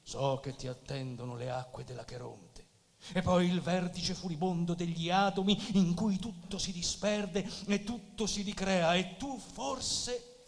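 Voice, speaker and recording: An actor playing a grandiose, bearded old pagan philosopher in an asylum, declaiming in solemn, archaic phrases on stage. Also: male voice, high voice, measured pace, vocal fry. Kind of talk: theatrical stage drama. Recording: reverberant theatre-like hall sound.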